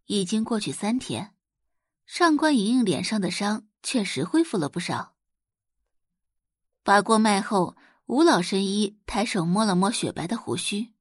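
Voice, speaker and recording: A woman's voice: medium pitch, animated, a little slow, breathy edge, dry recording, studio quality. A woman reading scripted dramatic narration as an audiobook narrator.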